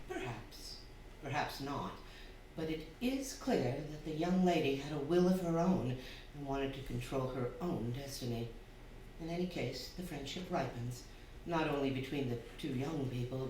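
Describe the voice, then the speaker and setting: A man acting as a wry, knowing narrator, giving measured dramatic narration for a staged radio play. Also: fairly high pitch, animated, darkish tone, far from the mic, close to an everyday voice. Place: theatre hall, distant microphone. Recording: very echoey, noisy stage recording.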